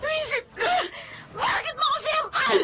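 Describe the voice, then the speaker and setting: An actress playing a coy, materialistic, discontented wife in an emotional Tagalog radio drama style. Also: female voice, very high voice, moderate pace, raised voice, background noise. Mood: defensive.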